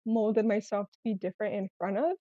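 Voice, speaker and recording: A woman's voice: mid-range voice, fairly even intonation, fairly quick, dark tone. A woman whose first language was Spanish, telling personal stories casually and candidly as a podcast guest. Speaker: podcast guest, small business owner. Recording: roomy, echoey, slightly dull sound.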